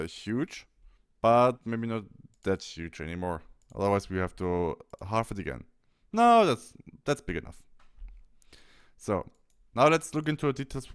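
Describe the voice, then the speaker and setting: A man presenting a software tutorial step by step, thinking aloud a little hesitantly as he demonstrates. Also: fairly low pitch, clean tone.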